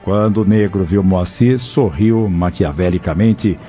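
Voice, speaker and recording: Audio novel narrator: male, dark mellow tone, fairly low, scripted storytelling over soft music. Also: a little slow. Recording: dull lo-fi narration recording.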